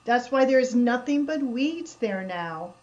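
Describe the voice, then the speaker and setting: A woman's voice: on the high side, animated, a little slow, a little mellow, muffled recording. A woman playing a curious, determined researcher musing aloud in a horror radio drama. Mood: puzzled, intrigued. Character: curious, determined female researcher investigating a mystery.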